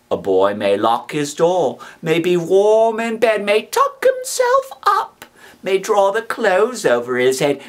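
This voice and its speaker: A man performs a rough convict from a classic storybook, all menace and threat. The voice rides high and swings dramatically in pitch. Each rustic phrase is drawn out slowly and pressed home with emphasis. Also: a bit bright, heavily acted. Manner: slow, emphatic rustic speech with nonstandard grammar.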